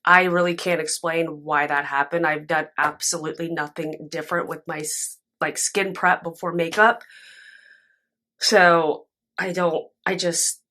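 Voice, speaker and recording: A young woman reviewing makeup to camera at home, chatty and candid, her voice raspy and stuffy from a nap. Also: deep voice, a little slow. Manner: chatty, candid and expressive, with frequent fillers. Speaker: beauty vlogger. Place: home room with candle and plants, indoors. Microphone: camera in front, sometimes very close.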